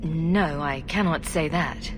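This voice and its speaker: Female hero, lowish voice, diplomatic, plainspoken, fantasy game, noisy background. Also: slow. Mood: calm, candid. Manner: calm, plainspoken. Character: level-headed, diplomatic heroine adventurer. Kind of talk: dramatic video game cutscene acting. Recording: noisy game ambience behind.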